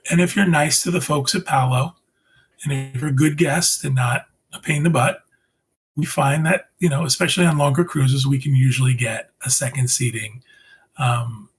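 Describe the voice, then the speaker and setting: A man hosting a casual podcast chat, asking follow-up questions with animated reactions. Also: medium pitch, a little fast, slight background noise. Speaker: podcast host.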